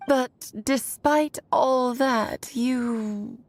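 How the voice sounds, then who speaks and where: A woman voicing an elegant, whimsical mage in a video game, expressive and poised, with a fond, shrewd and theatrical manner. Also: sits high, extremely slow, no echo. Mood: cautioning. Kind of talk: scripted video game voice acting.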